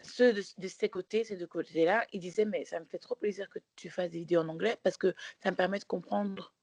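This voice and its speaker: A woman with a lowish voice, recounting a story in a casual podcast chat. She rambles, doubles back and fills pauses as she talks. Her voice sounds close and dry, with no room around it. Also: steady tone, darkish tone, dry recording. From Belgium. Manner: rambling storytelling with many restarts and fillers. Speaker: podcast co-host.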